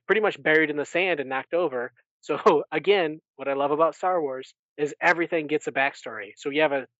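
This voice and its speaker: A man podcast host giving a casual recap in an animated storytelling way. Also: a little high, no echo, lo-fi sound.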